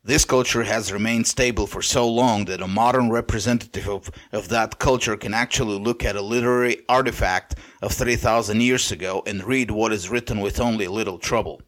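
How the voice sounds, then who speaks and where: A man with a Bulgarian accent, whose first language is Bulgarian, hosting a podcast and lecturing in a measured monologue with occasional restarts. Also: fairly low pitch, fairly even intonation, fairly bright tone. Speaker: podcast host. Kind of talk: monologue commentary.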